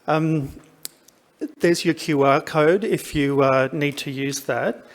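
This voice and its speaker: A man hosting a conference session, relaxed and with many fillers. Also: on the high side, takes it slowly, big-room sound. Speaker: event host.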